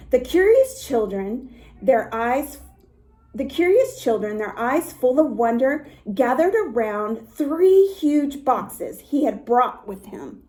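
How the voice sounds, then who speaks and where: A woman reading a story aloud to young children in an expressive way, with little asides to her listeners. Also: fairly high pitch. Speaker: storytime reader for a dance academy.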